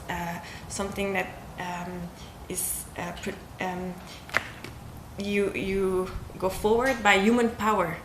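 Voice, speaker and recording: A woman's voice: medium pitch, a bit bright, echoey room, a little hiss or hum. A young woman from Belgium, a circus artist, talking casually about her work, hesitant with many ums and restarts. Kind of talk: casual interview talk.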